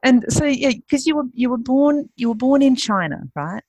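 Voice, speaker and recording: A woman's voice: high-pitched, animated, normal speed, darkish tone, no room sound, rough recording. A woman hosting an interview in an effusive, enthusiastic way. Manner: effusive, enthusiastic, laughs easily. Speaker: podcast host. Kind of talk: upbeat interview.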